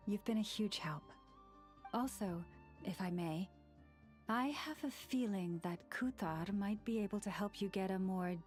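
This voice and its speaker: A woman voicing a gentle, thoughtful young spiritual leader in a video game, speaking in a measured, formal way. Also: medium pitch, natural voice. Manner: measured, soft-spoken, formal.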